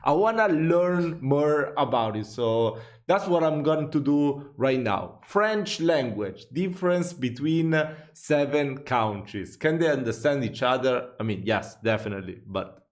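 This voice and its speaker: A man's voice: mid-range voice, animated, unhurried. Chatty man reacting to a video. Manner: chatty, thinks aloud with fillers and small laughs. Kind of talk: casual reaction commentary.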